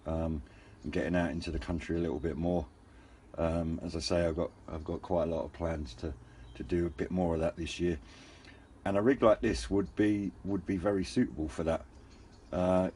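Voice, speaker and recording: A man with a deep, mellow voice talks through a casual hobby review. He is unscripted and understated, hesitating and rambling as he explains. There is a faint layer of noise behind him. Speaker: hobbyist radio reviewer and channel host.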